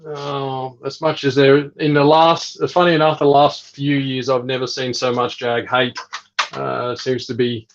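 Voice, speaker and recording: A man's voice: medium pitch, level tone, unhurried. Male breeder guest, mid-range voice, dull lo-fi recording, easygoing explanatory chat. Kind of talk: casual chat. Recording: dull lo-fi sound.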